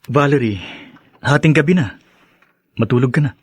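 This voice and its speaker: An actor playing an earnest, conciliatory young husband in a radio drama, with a Filipino accent. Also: male voice, mid-range voice, moderate pace, husky, dry recording, lightly acted. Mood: gentle, worried.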